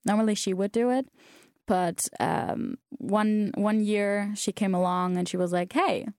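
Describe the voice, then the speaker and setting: A woman's voice: medium pitch, steady tone, unhurried, a little mellow, dry recording, studio quality. A young woman whose first language is German, telling stories casually in an interview, with lots of fillers and restarts. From South Tyrol, Italy. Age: young adult. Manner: chatty, many fillers and restarts. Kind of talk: casual conversational storytelling.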